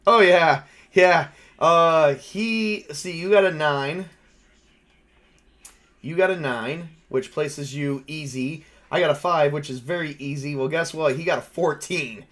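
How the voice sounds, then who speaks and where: A man casually narrating a tabletop role-playing game as game master, excitable and quick to swear. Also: a little high.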